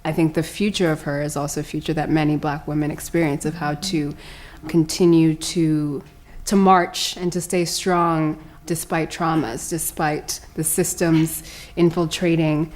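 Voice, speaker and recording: A woman's voice: sits low, flat delivery, unhurried, a little mellow. A woman speaking as an actor guest, reflecting in an interview. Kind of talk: reflective post-show interview.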